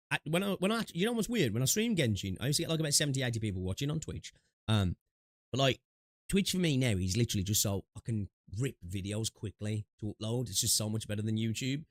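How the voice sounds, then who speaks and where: A man's voice: lowish voice, dry recording, clean studio sound. A man streaming games, chatting loosely with restarts, fillers and swearing, sniffling from allergies. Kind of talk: casual gaming stream chat.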